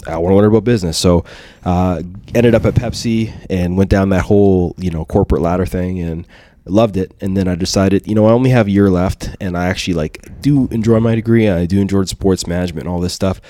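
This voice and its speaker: A man in his thirties telling a casual story as a podcast guest, rambling with many fillers and trailing off quietly. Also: low voice, fairly even intonation, quick pace. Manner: rambling, lots of fillers, drops to a mutter.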